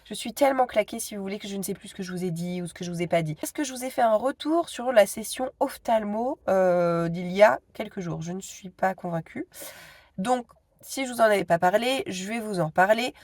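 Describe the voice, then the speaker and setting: A woman's voice: mid-range voice, brisk, a little mellow, no echo. A woman in her thirties with a cold, chatting casually to her phone camera at home, hesitant and full of asides. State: stuffy with a cold. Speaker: vlogger.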